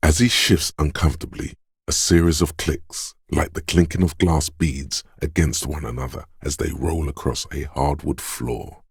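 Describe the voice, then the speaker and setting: An actor voicing a watchful inner perception voice in a game, speaking slowly and deliberately in a hushed tone. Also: male voice, fairly even intonation, dry recording, studio quality, exaggerated voice. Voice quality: deep husky voice. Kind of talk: game voice-over lines.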